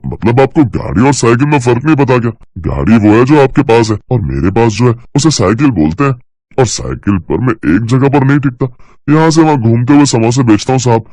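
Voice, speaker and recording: A man's voice: mid-range voice, lively intonation, fairly quick, a little mellow, dry recording, lightly acted. A man playing a clever young male street samosa seller with teasing, persuasive patter in a cartoon story. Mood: cheeky. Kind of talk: Hindi cartoon story character voice.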